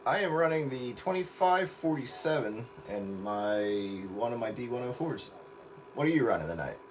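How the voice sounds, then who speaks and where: A man's voice: mid-range voice, expressive, moderate pace, a little hiss or hum, rough recording. A man chatting casually and in a rambling, easygoing way as he hosts a stream from his radio set. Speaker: radio operator hosting a livestream.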